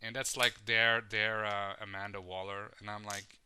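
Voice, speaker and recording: A man's voice: lowish voice, flat delivery, moderate pace, bright, forceful tone, slight background noise. A man hosting a casual podcast, rambling with lots of fillers and swearing. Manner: rambling, profane, filler-heavy. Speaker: podcast host.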